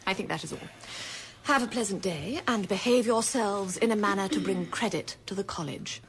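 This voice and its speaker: An actress playing a stern headmistress of a girls' college in a radio drama, speaking in formal, measured, pompous diction. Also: mid-range voice, natural voice. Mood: imperious, formal. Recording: faint background noise, old radio recording.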